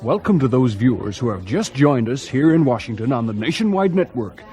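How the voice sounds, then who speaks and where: An actor playing a pushy news reporter in a radio drama, speaking in a formal, insistent way. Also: male voice, medium pitch, sing-song, brisk, mellow, faint music behind, natural voice. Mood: formal, brisk. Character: pushy, sceptical male television news reporter.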